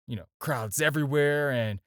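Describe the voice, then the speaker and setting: A man's voice: mid-range voice, moderate pace, fairly bright tone, smooth, no room sound, studio quality, close to an everyday voice. A man acting a flirty, self-assured supervillain in an audio roleplay, talking to the listener in a teasing, casual, conversational way. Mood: flirtatious. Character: flirty, smooth, self-assured male supervillain courting his tailor.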